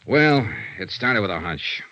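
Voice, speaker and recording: An American man playing a sharp hardboiled insurance investigator in 1950s radio drama, crisp and clipped. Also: lowish voice, big pitch swings, measured pace, dry recording, exaggerated voice. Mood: weary, explaining. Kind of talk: 1950s radio detective drama acting and narration. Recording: dull vintage radio recording with music.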